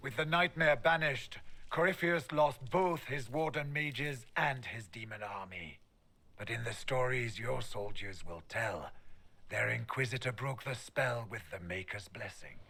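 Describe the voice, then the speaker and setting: An actor playing a solemn, weary middle-aged warrior in a video game, with gravelly voice and formal, measured delivery. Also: male voice, mid-range voice, sharp tone, heavily acted.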